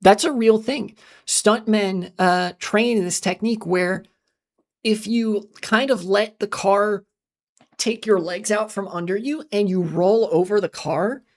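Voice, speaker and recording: A woman hosting a casual livestream, her voice slightly raspy and strained from long talking, speaking in halting bursts with many fillers and restarts. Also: mid-range voice. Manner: halting, many fillers and restarts, talks fast then slows. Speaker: livestream host. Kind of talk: casual livestream chat, reading chat and rambling on.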